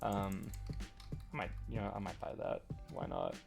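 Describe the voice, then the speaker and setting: A man casually talking while he plays a game, halting and rambling with a slight stutter and lots of fillers. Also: deep voice, wildly swooping pitch, gravelly. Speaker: gaming channel host. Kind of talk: casual commentary while playing. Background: faint lo-fi music and keyboard clicks.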